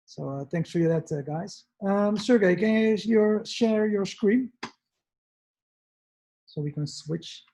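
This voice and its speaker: A man with a high voice and a mellow, soft tone. He talks informally and a little hesitantly over a video call. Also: takes it slowly, long pauses. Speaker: core team member. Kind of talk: casual livestream talk.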